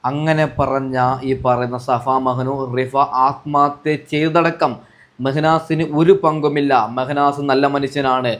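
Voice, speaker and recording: A man giving indignant, accusatory commentary to viewers, repeating himself and throwing out rhetorical questions. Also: medium pitch. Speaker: vlogger commenting on social media disputes.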